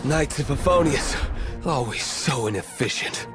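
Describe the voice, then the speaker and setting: Guy doing an aloof scornful rival in a game, mid pitch, disdainful, music behind. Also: expressive, a little slow, natural voice. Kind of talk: video game voice acting.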